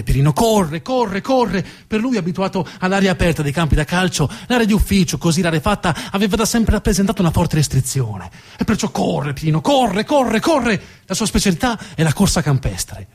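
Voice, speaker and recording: A man's voice: on the high side, a little mellow, husky. A man narrating as a stage storyteller, dramatic in delivery and repeating words for emphasis.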